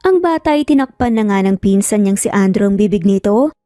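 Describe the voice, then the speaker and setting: A woman's voice: mid-range voice, expressive, a little fast, dark tone, no room sound, clean studio sound. A woman with a Filipino accent narrating a scripted romance story.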